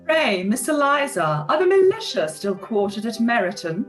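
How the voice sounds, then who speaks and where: A woman's voice: on the high side, sing-song, normal speed, faint music behind. A woman playing a haughty, spiteful young society lady in a period radio play, with an affected, disdainful drawl. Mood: falsely sweet, needling.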